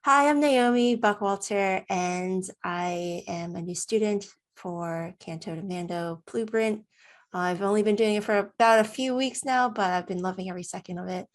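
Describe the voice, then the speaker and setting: A woman in her thirties giving a casual, self-recorded testimonial in a chatty, expressive way, often voicing her own thoughts. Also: mid-range voice, moderate pace.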